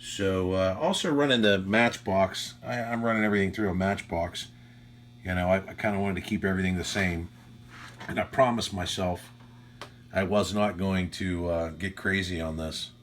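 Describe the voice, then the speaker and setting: A man with a low voice talks casually as a ham radio operator at home. He is chatty, unhurried and modest about himself, with a faint hum behind him. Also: fairly even intonation. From United States. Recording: faint hum and background noise.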